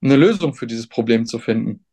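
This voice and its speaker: A young man speaks in an unhurried, thoughtful way, often pausing and restarting as he works out what he means. His voice sits at an ordinary middle pitch for a man, casual and open in an interview setting. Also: animated, warm tone. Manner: hesitant, many fillers and restarts, thoughtful. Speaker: substitute teacher, guest.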